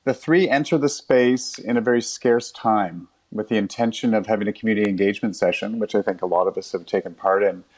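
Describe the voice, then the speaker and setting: A man being interviewed as a theatre director, explaining in a measured, reflective way. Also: lowish voice, darkish tone.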